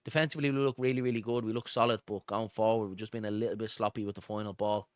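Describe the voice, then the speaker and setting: Male fan-stream football commentator, Dublin accent, low pitch, chatty and pally with viewers, dry sound. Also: steady tone, racing, no echo. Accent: Dublin Irish. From Dublin, Ireland. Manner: informal, matey, addresses viewers as pal and buddy. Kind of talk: live football commentary mixed with casual chat to viewers.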